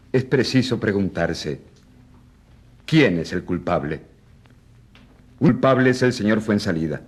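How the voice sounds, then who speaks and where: An actor playing an earnest, crusading rural priest, giving impassioned, deliberate oratory in a radio drama. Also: male voice, mid-range voice.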